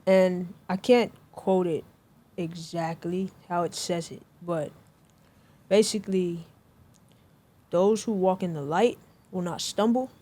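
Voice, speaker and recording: Calm co-host with mellow voice. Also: mid-range voice, slow, pauses often. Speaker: podcast co-host. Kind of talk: casual faith-centered chat.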